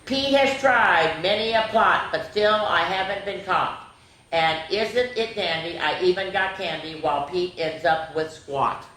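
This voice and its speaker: A woman's voice: fairly low pitch, measured pace, a bit bright, recorded from a distance. A woman voicing a crafty, teasing little male leprechaun from a children's storybook, sly and playful. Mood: smug gloating. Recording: very echoey room with faint noise.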